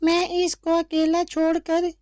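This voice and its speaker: A woman's voice: very high voice, completely flat delivery, unhurried, a little mellow, no echo. A woman voicing a watchful, caring mother bird who speaks instructively in a cartoon story. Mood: worried, protective. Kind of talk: slow cartoon story dialogue.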